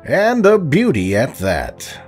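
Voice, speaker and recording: A man playing a remorseful middle-aged space pirate, earnest and halting, in an audio drama. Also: medium pitch, wildly swooping pitch, soft background music.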